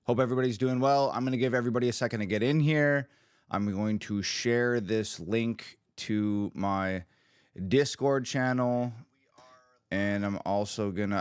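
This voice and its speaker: A man hosting his own livestream, a musician chatting casually with viewers, upbeat and hyped, his voice a little raspy from screaming the night before. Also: fairly low pitch, no echo, clean studio sound. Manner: upbeat, hyped, talks to the chat.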